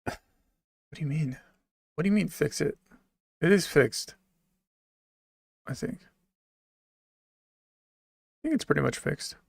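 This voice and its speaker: Gravelly-voiced man chatting deadpan. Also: a little high, slow, pauses often, dry recording, clean studio sound. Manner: joking, deadpan, talks straight to chat. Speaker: livestreamer reading chat messages. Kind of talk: casual livestream chat.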